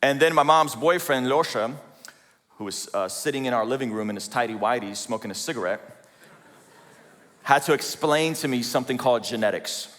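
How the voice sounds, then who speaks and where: A man's voice: mid-range voice, expressive, fairly quick, fairly bright tone. A man in his forties from Azerbaijan speaks on a conference stage, telling his own story with self-deprecating humor. His voice rings out with a long reverb tail from the large hall. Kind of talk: personal story talk to a conference audience.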